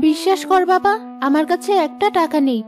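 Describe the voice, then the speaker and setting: A woman's voice: very high-pitched, brisk, soft background music. A woman playing a poor, loving village mother in a cartoon, plaintive and caring. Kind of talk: Bengali cartoon moral story dialogue.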